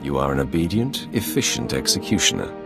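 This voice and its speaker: A ruthless imperial warrior in a video game, deep and gravelly. His delivery is measured and authoritative, dropping into stern resolve with very even pitch control. Music sits behind the voice. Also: full character voice. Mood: cool approval. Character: stern, commanding male Imperial Sith warrior, ruthlessly loyal. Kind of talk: scripted video game acting. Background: background music.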